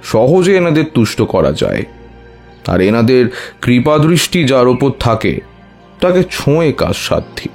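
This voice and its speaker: An actor playing a wise older tantric priest who recounts eerie memories in a measured way, with thoughtful hums, in an audio horror story. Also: male voice, mid-range voice, darkish tone. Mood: grave, knowing.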